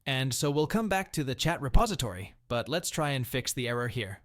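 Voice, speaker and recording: A man's voice: medium pitch, sing-song, a little fast, fairly bright tone, no room sound, clean studio sound. A man presenting a programming tutorial, talking through each step and the reason behind it in an instructional way. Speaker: coding tutorial host. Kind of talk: step-by-step screen-recorded programming walkthrough.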